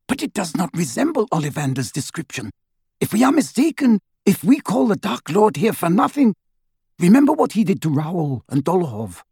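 A cold, clear-voiced aristocratic lady, as a man puts her on for a storybook. She speaks high and clipped, with wide swings of pitch. The voice sits close and dry, with a touch of husk. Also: sing-song, normal speed, a little mellow, no echo, full character voice. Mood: wary.

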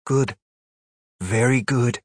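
A man voicing a cool, probing female demon in an audiobook story, with a deep husky voice, a foreign accent and matter-of-fact questioning. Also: takes it slowly, dry recording, clean studio sound. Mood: calm probing.

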